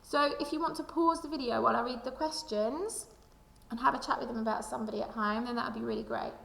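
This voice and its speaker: A woman's voice: fairly high pitch, steady tone, fast, vocal fry, fairly bright tone. A woman, a primary school teacher, reading a children's book aloud and speaking directly to young listeners. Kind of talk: expressive children's storybook read-aloud with reflective questions. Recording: echoey room with long reverb.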